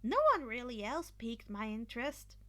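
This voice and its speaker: A woman's voice: on the high side, lively intonation, normal speed, bright, forceful tone. A woman voicing a bubbly, bold teenage girl inventor who exclaims eagerly in an audiobook of fan fiction. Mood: chatty, eager. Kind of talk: acted fan fiction dialogue.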